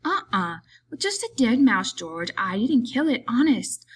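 A woman voicing a big, simple, childlike male farm laborer in an audiobook, eager and pleading, with halting, repetitive, rustic speech. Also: a little high, sing-song, slightly rough, pitched above the performer's own voice, heavily acted. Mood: anxious, evasive.

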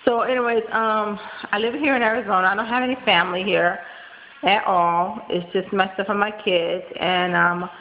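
A woman in her thirties with a nasal voice, congested from a cold and tired, talking fast in a rambling casual vlog full of ums and likes, recorded close on a webcam in a small room at home. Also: medium pitch, steady tone. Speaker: vlogger. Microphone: built-in webcam mic, close. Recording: dull lo-fi webcam audio with faint hiss.

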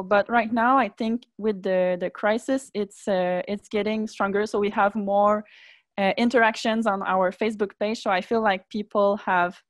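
French-speaking woman, halting Quebec accent. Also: mid-range voice, no echo, studio quality. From Montreal, Canada. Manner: halting, searching for English words, many "uh"s. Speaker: webinar participant. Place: indoor room at home. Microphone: earbuds on video call.

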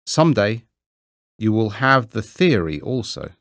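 A man's voice: lowish voice, sing-song, slow, a bit bright, no echo, clean studio sound, voice pushed up, full character voice. A man voicing a self-righteous male miller who lectures in a raised, pompous tone. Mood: smug. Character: smug, preachy grown male miller.

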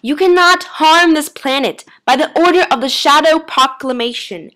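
A woman's voice: on the high side, a little slow, fairly bright tone. A woman voicing a slender, defiant, easily annoyed alien man in a story, with an emphatic, insistent delivery.

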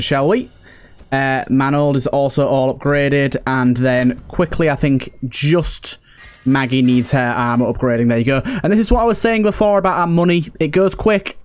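A man's voice: mid-range voice, clean tone. A man giving casual, chatty let's play commentary, thinking aloud as he hosts. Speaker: gaming host.

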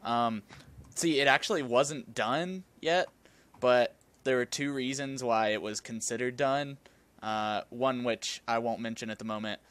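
Smooth-voiced young man, faint Southern twang. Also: medium pitch, fairly bright tone. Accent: slight Southern US. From American South. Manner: chatty, self-deprecating, fast bursts. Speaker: podcast host. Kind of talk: casual podcast chat.

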